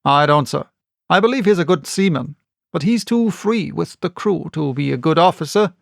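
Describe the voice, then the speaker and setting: A sharp, stern ship's captain, as a male reader acts him out in a storybook. He speaks bluntly and formally, with clipped insistence and a raised pitch, recorded close and dry. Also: expressive, moderate pace, creaky, no echo. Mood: firm, disapproving. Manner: plain-spoken, clipped, insistent. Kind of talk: audiobook character reading.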